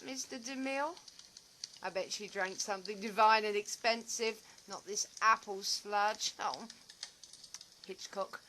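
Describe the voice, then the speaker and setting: A woman's voice: a little high, sharp tone, a little hiss or hum, full character voice. A woman playing a theatrical, lonely middle-aged actress in an audio play, with a dramatic, rambling way of talking. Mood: wistful, playful. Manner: dramatic, rambling, self-amused monologue.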